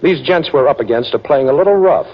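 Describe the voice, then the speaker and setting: An actor playing a wisecracking, unflappable adventurer hero in a 1940s radio detective drama. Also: male voice, medium pitch, animated, quick pace, slight background noise, natural voice. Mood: earnest, brisk. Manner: breezy, sardonic quips under threat. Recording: muffled 1940s radio recording.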